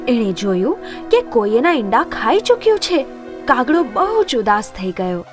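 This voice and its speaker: A woman's voice: fairly high pitch, big pitch swings, normal speed, soft background music. A woman narrating scripted moral stories for children.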